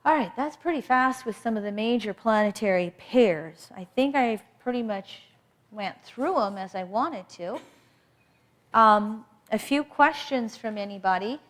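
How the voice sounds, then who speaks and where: A woman teaching an astrology workshop in a loud, emphatic voice, repeating key points for her class. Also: a little high, animated, a little slow. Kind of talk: workshop lecture.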